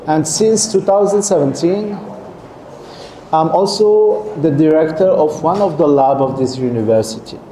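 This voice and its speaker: A man's voice: fairly high pitch, mellow. A middle-aged man, giving a seminar lecture to students as a guest professor, often stopping to check in with the audience and restarting phrases. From Algeria. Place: lecture hall. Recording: echoey lecture hall with faint noise.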